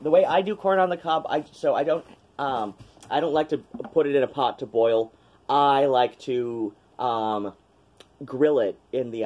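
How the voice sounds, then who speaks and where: A man's voice: mid-range voice, darkish tone. A man chatting casually at home into a webcam during an unboxing, rambling with lots of ums and restarts. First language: English. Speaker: vlogger. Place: small cluttered room at home, indoors.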